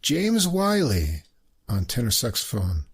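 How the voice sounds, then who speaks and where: A man's voice: mid-range voice, huge pitch swings, slow, slightly rough. A man hosting a podcast, reading out musician credits and describing recordings in an informative way. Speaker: podcast host.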